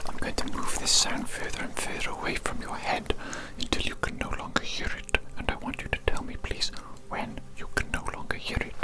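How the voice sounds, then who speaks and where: A person whispering softly through an ASMR roleplay as a hearing test examiner, slow and soothing, with repeated gentle praise.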